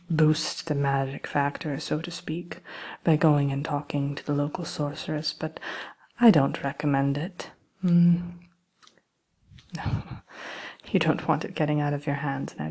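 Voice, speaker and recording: A woman acts out a wicked, courtly queen for an ASMR roleplay, murmuring slyly and often dropping to a whisper. Her low voice is breathy and crackles with fry, and she picks her words slowly, with polite menace. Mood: sly, confiding. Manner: hushed, hesitant, politely wicked, slow deliberate phrasing. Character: sly, genteel evil queen selling cursed apples.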